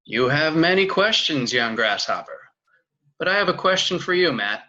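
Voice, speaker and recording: An actor reading a calm older kung fu master, with measured, proverb-like delivery at a comedic table read. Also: male voice, fairly high pitch, lo-fi sound. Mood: solemn.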